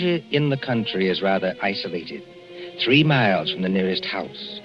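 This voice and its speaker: A man narrating a suspense drama as a 1940s radio announcer, deliberate and ominous. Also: sits low. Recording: muffled 1940s radio recording with music.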